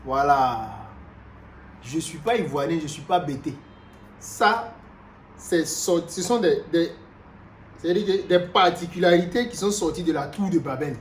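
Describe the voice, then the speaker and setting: A man from Cameroon, preaching a sermon in an animated way, moving from loud exclamations to quiet asides and repeating himself often. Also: a little high, measured pace, pauses often. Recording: roomy echo with faint background noise.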